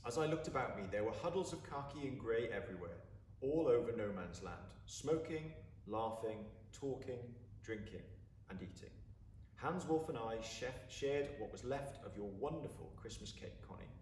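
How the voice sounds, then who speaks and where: A man reads a story aloud in a dramatic, deliberate way. His mid-pitched voice moves widely up and down. The recording is echoey and a little distant, with faint noise. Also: expressive, a little mellow. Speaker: story reader. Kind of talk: expressive storybook narration. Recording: roomy, distant mic, faint background noise.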